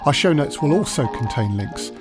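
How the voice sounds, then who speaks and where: Audio drama end credits: male announcer, scripted read, medium pitch, music loud underneath. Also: animated, normal speed. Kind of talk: scripted narration. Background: prominent music.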